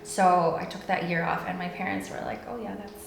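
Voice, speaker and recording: A woman's voice: on the low side, level tone, a little fast, creaky. A woman in her twenties from the Philippines, a guest chatting casually in an interview and acting out quotes with easy laughter. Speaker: guest, salon owner. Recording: echoey room, faint noise.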